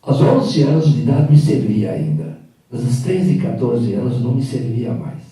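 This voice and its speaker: Older guy w telling his story, dark mellow voice, far off in a big echoey room. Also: medium pitch. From Hungary. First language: Hungarian. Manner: reflective, halting with fillers. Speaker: guest speaker. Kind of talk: personal storytelling talk. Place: event hall. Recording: echoey room from a distant mic.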